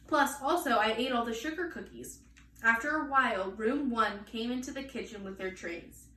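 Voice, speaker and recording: A woman reading a children's storybook aloud in an expressive style. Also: a little high. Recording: echoey room, distant mic, faint noise.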